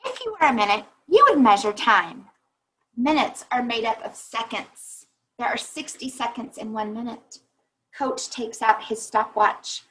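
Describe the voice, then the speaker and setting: A woman reading a children's picture book aloud like a teacher, pausing to think aloud and explain. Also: a little high, expressive, fairly bright tone, husky, poor audio.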